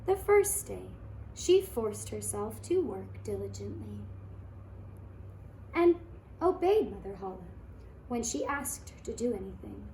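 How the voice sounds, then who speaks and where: Slow-paced woman storyteller, higher voice, echoey room. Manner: slow, deliberate, expressive storytelling. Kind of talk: scripted fairy-tale narration for children. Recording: echoey room with faint noise.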